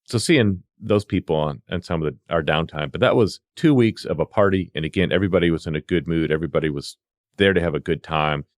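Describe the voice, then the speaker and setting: Male podcast guest, low register, rapid casual storyteller who acts out dialogue. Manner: animated re-enacting of dialogue. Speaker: podcast guest, veteran EMS worker.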